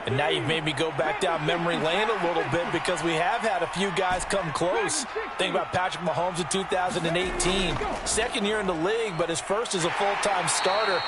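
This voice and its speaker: A man's voice: sits high, close to an everyday voice. A man playing a seasoned football analyst in a video game, explaining plays emphatically as color commentator. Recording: game broadcast audio under loud stadium noise.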